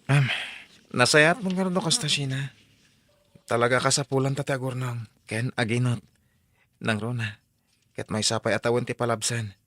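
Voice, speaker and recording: A young Ilocano husband played by a man speaks in a mid-pitched voice, defensive, in audio drama style. The recording is close and dry. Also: fairly even intonation, moderate pace, long pauses, a bit bright, dry recording, natural voice. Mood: calm. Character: defensive young husband, a college student under reproach.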